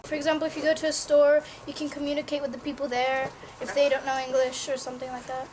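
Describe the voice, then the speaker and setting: A woman's voice: high-pitched, flat delivery, very fast, background noise. Tentative young teen girl debating. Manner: tentative, self-correcting. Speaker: student debater. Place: classroom.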